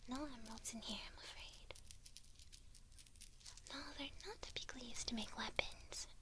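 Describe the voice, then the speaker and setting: A woman playing a kindly witch who gently instructs her apprentice in a breathy, unhurried ASMR whisper. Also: a little high, steady tone, natural voice. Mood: gentle, instructive.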